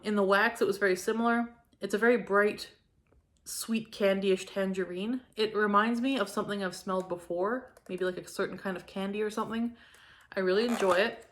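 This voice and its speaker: A young woman from Canada talks casually through her product reviews, enthusiastic and full of likes and ums. Her voice sits at an ordinary middle pitch, a little hoarse from a cold. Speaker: home fragrance reviewer.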